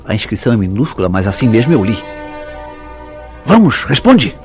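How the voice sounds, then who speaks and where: A man's voice: lowish voice, sing-song, moderate pace, a little mellow. A man with a Brazilian accent playing a jealous, suspicious husband in a radio soap opera, insistent and accusatory in delivery. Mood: accusing, insistent. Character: jealous, suspicious husband interrogating his wife. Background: music behind the voice.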